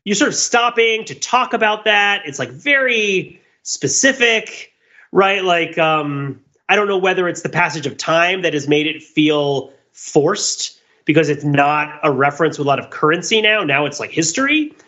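A man talking casually as a podcast host, animated and rambling, often halting mid-thought. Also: on the high side, dull audio. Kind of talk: casual discussion of old television.

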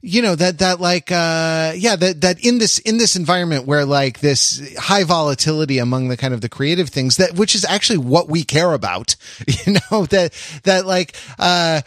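A man hosting a casual podcast discussion, playful and halting, circling back over his phrases as he thinks aloud. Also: on the high side, a bit bright, no room sound, clean studio sound. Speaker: podcast host.

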